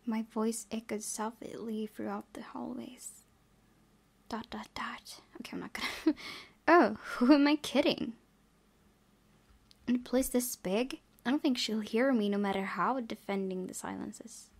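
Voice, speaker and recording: A woman's voice: a little high, a little slow, vocal fry, slightly rough. A woman reading a game's story aloud and adding casual commentary as she plays. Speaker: gaming video creator. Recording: loud background noise, game ambience.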